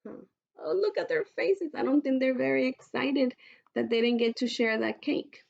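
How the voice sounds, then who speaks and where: A woman teacher reading aloud to children in an instructive way, pausing to pose questions, on a dull recording. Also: high-pitched, gravelly, darkish tone. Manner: instructive, poses questions to listeners.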